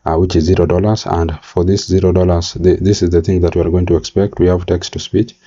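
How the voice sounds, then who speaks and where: Very deep, flat-voiced man. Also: husky. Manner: halting, step-by-step explaining. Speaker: tutorial presenter. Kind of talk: screen-recorded software tutorial narration. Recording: slightly dull, lo-fi mic.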